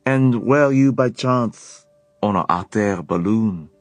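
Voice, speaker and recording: A man's voice: fairly low pitch, takes it slowly, no room sound, soft background music, voice pushed up. A man voicing a flamboyant young French man with a French accent, gushing and theatrical. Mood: effusive. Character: flamboyant effusive young French male Shadowhunter.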